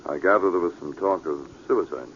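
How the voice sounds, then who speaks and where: An actor playing a reserved, upright police sergeant in a measured, formal manner for a vintage radio drama. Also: male voice, deep voice, natural voice. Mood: probing. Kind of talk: 1940s radio drama acting. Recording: muffled, noisy vintage radio recording.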